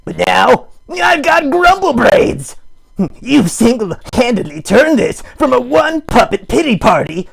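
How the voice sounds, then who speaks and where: A man voicing a needy, melodramatic puppet streamer, theatrical, sarcastic and mock-grand, with a gravelly, husky voice, improvising a comic livestream. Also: extremely high pitch, big pitch swings, unhurried, heavily acted. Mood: conspiratorial, playful. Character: melodramatic, needy puppet live streamer with big wry bravado. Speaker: host of a live puppet show stream.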